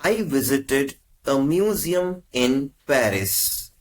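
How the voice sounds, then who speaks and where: A man teaching as a language tutor, reading short prompts in slow, measured phrases. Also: medium pitch, expressive, slight background noise. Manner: measured, carefully spaced phrases. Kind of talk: slow, deliberate lesson prompts.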